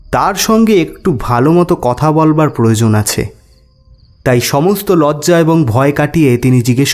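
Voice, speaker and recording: A man's voice: a little high, a little mellow. A man narrating a scripted ghost story as a storyteller.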